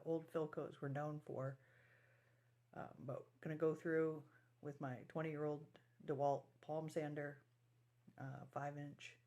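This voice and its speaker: Casting: DIY restoration host with a mid-range voice, informal and folksy, talking through hands-on work step by step. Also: medium pitch. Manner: folksy, colloquial phrasing. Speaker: host of a restoration project video. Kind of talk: casual how-to narration of a restoration project.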